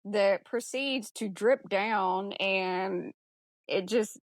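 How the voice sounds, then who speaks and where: A woman with a medium-pitched voice talks casually about a film as a podcast co-host. Her delivery is blunt and informal, full of slang. The sound is dry, with very little room. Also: drawn-out words, no room sound.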